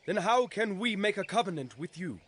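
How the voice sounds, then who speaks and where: Wary, high-voiced Israelite. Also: male voice, animated, fairly quick, fairly bright tone, slightly rough, heavily acted. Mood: suspicious. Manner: suspicious, challenging. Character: wary suspicious man of Israel. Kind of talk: dramatized Bible audio acting.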